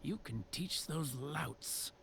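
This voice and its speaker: An actor voicing a wry, tired old carpenter in a game. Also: male voice, on the high side, animated, slow pace, gravelly, fairly bright tone, a little hiss or hum, exaggerated voice. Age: older.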